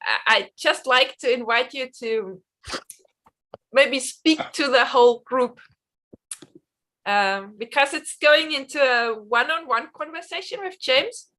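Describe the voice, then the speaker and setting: A woman with a cold and a blocked nose, gently guiding an online conversation group with some hesitant fillers. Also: high-pitched, takes it slowly.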